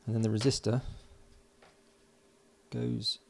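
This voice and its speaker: A man giving casual hands-on commentary as an electronics hobbyist, informal and halting as he thinks aloud while working. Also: mid-range voice, level tone, gravelly, slight background noise. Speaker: electronics hobbyist presenting a build. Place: indoors at a workbench.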